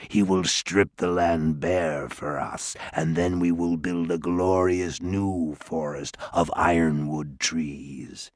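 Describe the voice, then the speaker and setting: A haughty dwarf lord, as a narrator puts him on, rumbling at the very bottom of his range. He drags every word out slowly and menacingly, savoring his power. The storybook voice is recorded dry and close. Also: level tone, no room sound. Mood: gloating. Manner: slow, gloating and menacing. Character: haughty, scheming dwarf lord, male, adult. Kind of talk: audiobook character voice.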